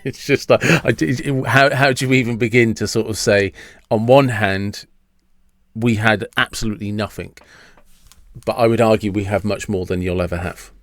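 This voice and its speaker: A man's voice: on the low side, steady tone, normal speed, fairly bright tone, no echo, studio quality. A man in his fifties hosting a podcast interview, chatting casually and rambling with frequent restarts and repeated words. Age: 50s. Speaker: podcast host.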